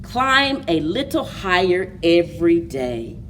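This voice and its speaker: A woman leads a story time for young children in an expressive, very slow delivery. Her voice sits a little low but swings widely in pitch. She is picked up from some way off the microphone. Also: distant mic, slight background noise. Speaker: library story time host. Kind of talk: children's picture book read-aloud and story time.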